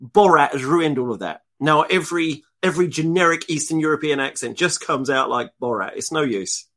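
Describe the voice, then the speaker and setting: A man's voice: a little high, brisk, pushed and bright. A man with a London accent co-hosting a live chat show, blunt and sweary with dry humour. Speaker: podcast co-host. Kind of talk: casual live-stream chat.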